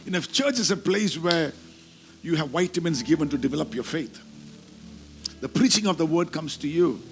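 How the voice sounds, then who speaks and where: A man's voice: on the high side, animated, normal speed, soft background music. A man preaching a sermon, emphatic and repeating key phrases as he teaches from scripture. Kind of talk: sermon with scripture reading and call-and-response.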